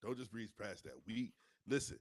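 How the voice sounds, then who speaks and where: A man co-hosting a podcast, a self-described loudmouth who sounds stuffy from a cold. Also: on the low side, animated, normal speed, no echo, studio quality. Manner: loud, playful banter. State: stuffy, congested. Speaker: podcast co-host. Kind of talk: casual podcast chat.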